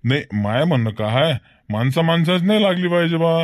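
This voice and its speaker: A man's voice: fairly high pitch, lively intonation, brisk, dry recording, clean studio sound, natural voice. A man voicing a village schoolmaster in a comic cartoon, chatting and reasoning in a folksy way with a rural Varhadi Marathi accent. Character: village schoolmaster chatting about local gossip.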